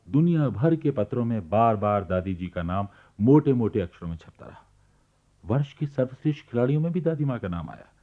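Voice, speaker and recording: A man playing the reflective narrator of a radio play, telling the story in a measured, sympathetic way. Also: medium pitch, lightly acted. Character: reflective male narrator, sympathetic observer of grandmother. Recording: tinny, narrow-band archival radio recording.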